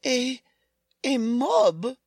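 A woman playing a timid, frightened German-American housewife in a 1940s-style radio drama, speaking haltingly. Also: high-pitched, expressive, gravelly, a little mellow, dry recording, clean studio sound, full character voice. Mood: fearful. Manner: hesitant, halting delivery.

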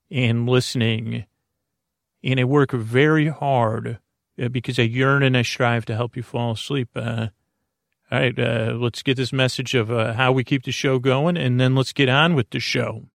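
Creaky-voiced man, meandering bedtime podcast host. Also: mid-range voice, steady tone, no room sound, studio quality. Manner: meandering, halting, trips over words, repeats himself; gentle, reassuring. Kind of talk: rambling, soothing bedtime podcast monologue.

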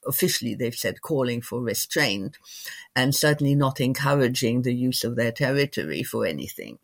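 Male expert guest, mid-pitched, conversational analyst who hedges and works through points as he talks. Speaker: interviewed regional expert.

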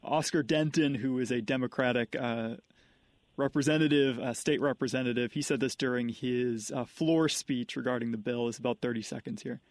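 Male host, mid-pitched voice, dry close sound, direct questioning. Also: normal speed, no echo. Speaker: radio interviewer. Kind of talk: interview questions.

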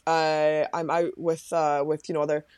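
A woman's voice: low-pitched, level tone, normal speed, a little mellow, clean tone, dry recording, clean studio sound. A woman being interviewed as a guest, talking casually in a rambling way, full of fillers and restarts. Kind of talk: casual chat.

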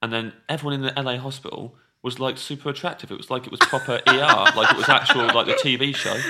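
A man's voice: mid-range voice, fairly even intonation, quick pace, heavy background noise. A man, hosting a podcast and telling self-deprecating stories in casual chat. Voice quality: nasal. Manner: self-deprecating storyteller, laughs often. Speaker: podcast host.

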